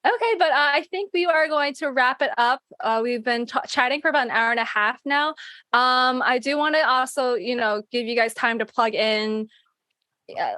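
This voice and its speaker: A woman's voice: a little high, normal speed, pushed and bright, no echo. A woman hosting a podcast panel in casual chat, giggly and informal.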